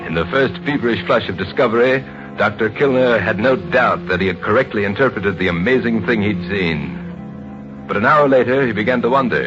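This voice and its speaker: A man giving grave, dramatic scripted narration in a suspenseful 1950s radio style, with deliberate emphasis. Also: lowish voice. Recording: muffled vintage radio recording. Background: music.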